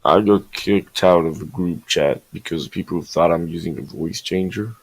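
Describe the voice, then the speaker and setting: A teenage boy with a very deep, gravelly voice, sick and strained, joking deadpan in a casual interview. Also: normal speed, low-quality recording. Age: teens. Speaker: guest music producer.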